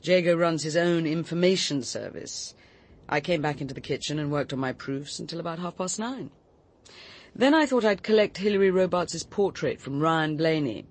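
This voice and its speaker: An actress in a radio drama playing a self-possessed woman, speaking with a brisk, precise British delivery. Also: low voice, expressive. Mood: brisk, composed. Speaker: actor.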